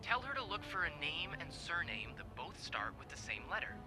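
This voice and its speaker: High-voiced bookish young man. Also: very animated, moderate pace, music in the background. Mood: helpful, defensive. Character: bookish, good-natured young man, receptionist's friend, in mystery game. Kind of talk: conversational video game acting.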